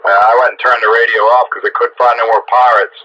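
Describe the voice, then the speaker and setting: A man's voice: medium pitch, flat delivery, a little fast, dull audio. A man chatting casually on the air as a radio operator, heard over a two-way radio. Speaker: amateur and CB radio operator, uploader of the show. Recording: noisy band-limited CB radio transmission.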